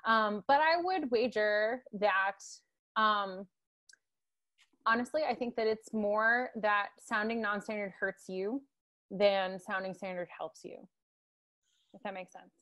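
A young woman from Tennessee delivers a lecture over a video call, speaking through earbuds in a room at home. Her voice sits at a middling pitch for a woman. She peppers her points with ums and checks in with "right?". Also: slow, pauses often, fairly bright tone. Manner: frequent ums and tag questions like "right?". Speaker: guest lecturer. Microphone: earbud headset on a video call.

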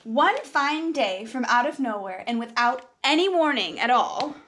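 High-pitched young woman reading to preschoolers. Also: a little hiss or hum. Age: young adult. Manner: expressive, sing-song, drawn-out storytelling for small children. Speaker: preschool teacher. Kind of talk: animated read-aloud of a children's picture book.

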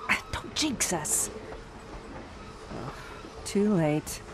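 An actress playing a tough, bossy bank teller turned thief, wry and slangy in a period radio drama. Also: female voice, low voice, big pitch swings, extremely slow, very noisy, heavily acted.

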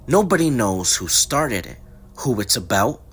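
A man reading scripted mystery documentary narration with measured, dramatic phrasing. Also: medium pitch, big pitch swings, slightly rough.

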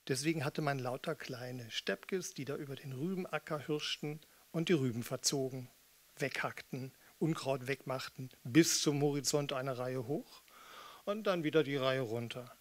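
A man in his sixties from eastern Germany introducing pieces on stage as a casual storyteller, wry and halting, close on a microphone. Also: medium pitch. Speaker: spoken-word performer and musician introducing pieces. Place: small theatre or cinema hall with red seats. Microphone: studio microphone on stand with pop filter, close.

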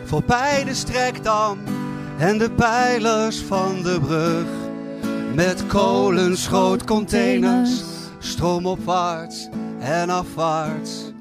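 Very slow woman reciting over guitar. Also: mid-range voice. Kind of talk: poetic recitation set to music. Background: loud plucked guitar.

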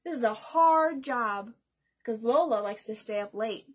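High-pitched young woman reading a picture book. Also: measured pace. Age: young adult. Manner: expressive, addresses young listeners with questions. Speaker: library storytime host. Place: small bedroom indoors.

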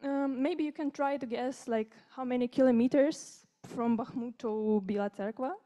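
High-voiced Ukrainian teenage girl. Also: fairly even intonation, normal speed, warm tone. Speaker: youth speaker presenting at a conference. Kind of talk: informal presentation to an audience. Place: conference room with seated audience.

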